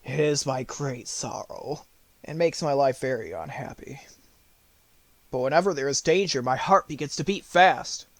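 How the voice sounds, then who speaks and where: A man's voice: medium pitch, normal speed, dry recording, lightly acted. A great beast of a storybook lion, acted by a man, who is thoroughly cowardly. He speaks mournfully, confessing his woes with self-pity. The sound is dry. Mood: sorrowful. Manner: sorrowful, confessing.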